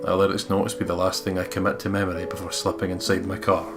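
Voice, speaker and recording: A man with a low voice narrates and comments on a visual novel in a casual let's play style. Game music plays behind him. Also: completely flat delivery, fast. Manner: casual and sweary. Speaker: let's play co-host reading aloud.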